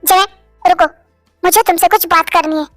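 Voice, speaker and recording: A man's voice: very high-pitched, fairly even intonation, normal speed, fairly bright tone, no echo, clean studio sound, faint music behind. A narrator voicing an earnest, lovestruck male boss who makes heartfelt pleading declarations. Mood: firm. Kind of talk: storybook drama reading.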